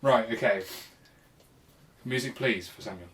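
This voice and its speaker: A man's voice: mid-range voice, a little slow, a bit bright, a little hiss or hum. Man, teasing podcast quizmaster. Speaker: podcast co-host. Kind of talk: casual banter, quizmaster.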